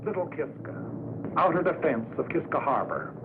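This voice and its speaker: Expressive 1940s American male newsreel-style narrator. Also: on the high side, normal speed. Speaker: documentary narrator. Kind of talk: 1940s wartime documentary scripted narration. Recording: scratchy 1940s film soundtrack, narrow band, noisy.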